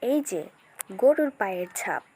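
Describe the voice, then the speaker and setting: Woman reading as helpful, slow-speaking village child. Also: mid-range voice, sing-song, warm tone, a little hiss or hum, voice pushed down. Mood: purposeful. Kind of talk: children's cartoon story acting.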